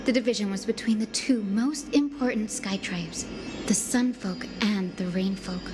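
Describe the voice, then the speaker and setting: A woman voicing a composed, knowledgeable and gently reverent guide in a fantasy video game, calmly explaining. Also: medium pitch, moderate pace, music in the background, natural voice. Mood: solemn, admiring. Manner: calm, explanatory. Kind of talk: video game story dialogue. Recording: long hall reverb, clear background ambience.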